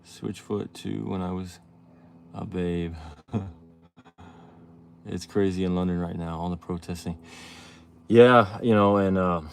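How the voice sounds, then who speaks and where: Casual low-voiced man in his thirties. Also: vocal fry. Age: 30s. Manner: rambling, restarts often, reads viewer questions aloud. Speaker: livestream host. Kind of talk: casual livestream chat.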